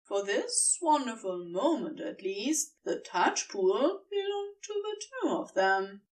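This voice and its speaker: A woman's voice: fairly high pitch, very animated, slow pace, recorded from a distance. A woman reading scripted story narration as the narrator of an audio fiction piece.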